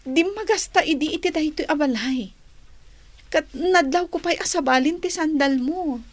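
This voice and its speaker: A woman's voice: high-pitched, normal speed, close to an everyday voice. A woman in an Ilocano radio drama playing a young, well-bred niece, inquisitive and incredulous, full of questions and exclamations. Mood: astonished, curious.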